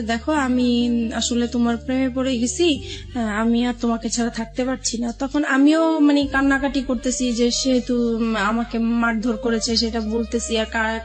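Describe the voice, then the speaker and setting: A woman with a Bangladeshi accent telling her own life story as an interview guest, often retelling conversations as she goes. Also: on the high side. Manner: recounts events with reported dialogue.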